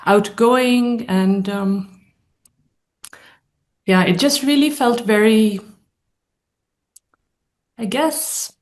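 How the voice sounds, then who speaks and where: Woman, psychologist, panel guest, reflective, measured, pausing for words. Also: fairly high pitch. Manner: thoughtful, measured, searching for words.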